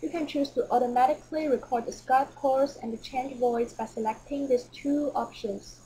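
A woman reading scripted narration for a software tutorial. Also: high voice, fairly even intonation, normal speed, breathy edge, rough recording.

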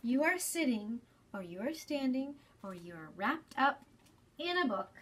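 A woman in her thirties hosting a children's storytime, explaining gently and deliberately in a slightly breathy voice. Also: sits high, very animated, a little hiss or hum. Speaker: children's storytime host at a library. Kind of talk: children's storytime reading and talk. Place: small library space, indoors.

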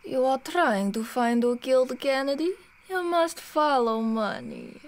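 A woman's voice: sits high, huge pitch swings, unhurried, heavily acted. A woman playing a mysterious, mercenary Russian in an audio drama, with a Russian accent and a cool, cryptic, terse manner. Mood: cool, intrigued.

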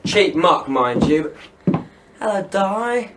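Menacing villain, noisy track. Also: male voice, sits high, big pitch swings, slow, heavily acted. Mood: mocking. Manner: taunting, slangy, threatening. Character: menacing, taunting armed criminal, cruel, fond of gin. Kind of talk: British radio crime drama acting.

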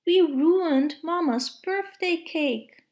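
An excitable, high-voiced little monkey child from a storybook, acted out by a woman reader. The manner is childlike and urgent, fretful and given to shushing, with measured pacing. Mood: alarmed.

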